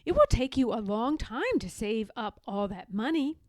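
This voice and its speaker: Storybook schemer, voiced by a woman: boastful little girl, high voice, knowing and persuasive delivery. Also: very animated, moderate pace, no room sound, studio quality. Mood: sly, discouraging. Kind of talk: children's storybook read-aloud.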